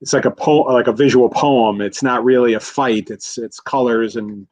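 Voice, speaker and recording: A man's voice: mid-range voice, normal speed, a little mellow. A man talking about films in a casual podcast discussion, rambling, with many restarts and fillers. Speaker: guest commentator. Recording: slightly dull lo-fi audio.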